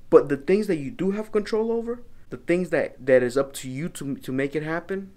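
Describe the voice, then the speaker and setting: A man's voice: a little high, fairly quick, dark tone. A young man from El Salvador, talking earnestly to camera from his home office, often repeating and restarting his phrases. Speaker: business vlogger. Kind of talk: casual vlog talk to camera.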